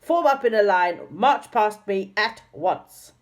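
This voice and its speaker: A woman reading a storybook aloud, voicing a cross old fairy schoolmistress who snaps and shouts orders. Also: fairly low pitch, moderate pace, pitched above the performer's own voice, natural voice. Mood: fierce.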